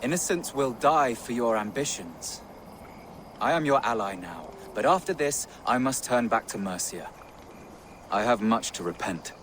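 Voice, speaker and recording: An actor playing a troubled, reproachful warrior ally in a video game, speaking gravely. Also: male voice, mid-range voice, expressive, measured pace, a bit bright, slight background noise. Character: troubled, conscientious male warrior ally, reluctant and weary.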